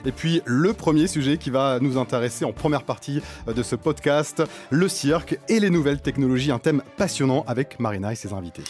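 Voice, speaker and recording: A man's voice: mid-range voice, lively intonation, fairly quick, darkish tone, soft background music. A man hosting a podcast, opening the show like an announcer. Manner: announcer-like presentation. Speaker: podcast host.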